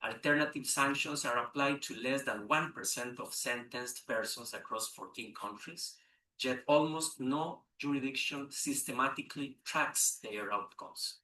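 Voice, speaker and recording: A man delivering a formal prepared speech at a conference, measured, with a few hesitant restarts. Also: mid-range voice, pushed and bright, a little hiss or hum. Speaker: conference speaker. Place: large conference room. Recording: echoey room, distant mic.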